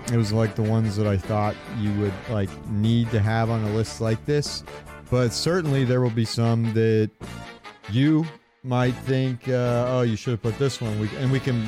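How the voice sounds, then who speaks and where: A man talking casually as a gaming podcast host, halting as he thinks aloud. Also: medium pitch.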